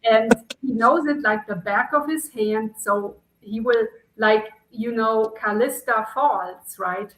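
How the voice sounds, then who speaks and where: Bright, lively German-accented woman. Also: a little high, takes it slowly, few pauses, roomy sound, low-quality recording. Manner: enthusiastic, rambling storyteller. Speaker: podcast guest. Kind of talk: casual podcast chat.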